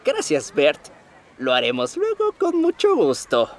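A man's voice: a little high, huge pitch swings, unhurried. A man playing a polite, earnest visiting clergyman in a Latin American children's cartoon, courteous and effusive. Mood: cheerful.

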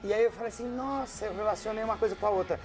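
A woman's voice: medium pitch, racing, over loud music. A woman in her fifties with an Italian accent, a journalist guest joking dryly about herself on a TV talk show in a studio. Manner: dry, self-mocking humor. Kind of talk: casual talk-show chat. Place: bright TV studio set. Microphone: lapel mic.

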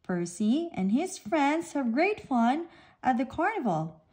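A woman reading a children's picture book aloud in an expressive, deliberate and emphatic way. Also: high voice, husky. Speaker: storybook reader. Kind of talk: animated children's read-aloud narration.

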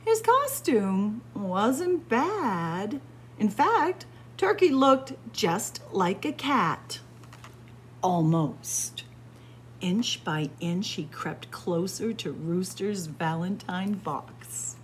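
A woman reading a children's picture book aloud indoors as a library storyteller, in an expressive, deliberate manner. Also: mid-range voice, a little hiss or hum. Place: indoor room with bookshelves, library.

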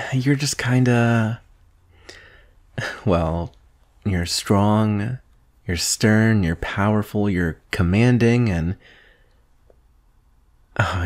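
A young man playing a shy, adoring best friend in an intimate boyfriend ASMR roleplay, speaking softly and hesitantly. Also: fairly low pitch. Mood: teasing, admiring. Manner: hesitant, soft-spoken, breathy sighs and nervous chuckles. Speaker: audio roleplay performer.

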